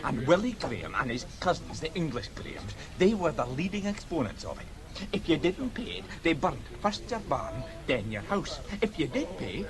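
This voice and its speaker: An actor playing a garrulous Scottish police sergeant who relishes old local tales, in radio drama style. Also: male voice, fairly high pitch, very animated, moderate pace. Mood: relishing, storytelling. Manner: garrulous storyteller.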